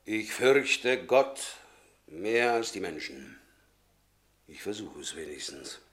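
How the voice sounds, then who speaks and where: Slow, fervent, bright-voiced zealot. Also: male voice, low voice, very animated, heavily acted. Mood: solemn, restrained. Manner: fervent, solemn, preacherly, deliberate. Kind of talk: classic German radio drama acting.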